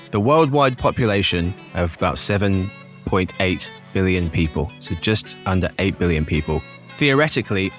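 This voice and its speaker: A man's voice: fairly low pitch, a bit bright. A man hosting a business podcast in a cheery, jokey, chatty way. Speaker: podcast host.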